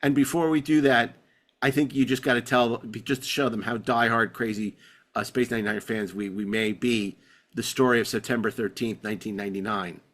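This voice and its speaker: A man's voice: mid-range voice, steady tone, brisk, a bit bright. A man hosting a podcast in casual, chatty conversation, talking like an enthusiastic fan. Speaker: podcast host. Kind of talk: casual chat.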